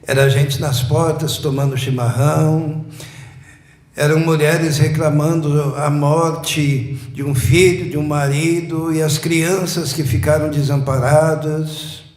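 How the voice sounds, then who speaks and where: A man, preaching a sermon slowly and emphatically, building up repeated phrases. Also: a little high, flat delivery, a little mellow. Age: 60s. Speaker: pastor. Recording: echoey church room.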